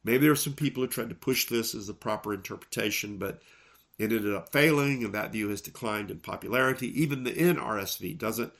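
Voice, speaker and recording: Man, gravelly voice, recovering from illness, fairly low pitch, earnest, emphatic. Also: fairly bright tone. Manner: earnest, measured, emphatic on key words. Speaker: podcast host and preacher. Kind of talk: solo podcast commentary on Bible texts.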